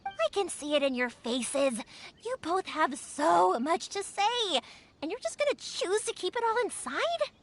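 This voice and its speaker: A woman's voice: extremely high pitch, a little slow, heavily acted. A woman voicing a spirited young fireworks maker who meddles boldly and rallies others in a video game. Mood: insistent. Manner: energetic, pushy, rallying. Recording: game audio with music behind.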